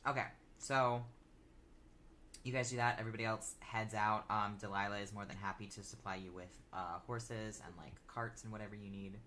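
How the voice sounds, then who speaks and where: A game master improvising narration for a tabletop game in a casual, halting way. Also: low-pitched, steady tone, sharp tone. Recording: faint noise behind.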